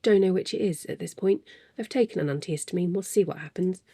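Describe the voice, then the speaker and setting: A woman's voice: fairly low pitch, quick pace, creaky, dark tone, husky, dry recording, studio quality. A woman hosting a crafting podcast from her desk at home, talking in a rambling, self-deprecating way with chuckles between thoughts while stuffy with a cold. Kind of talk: casual chatty monologue. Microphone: desk microphone.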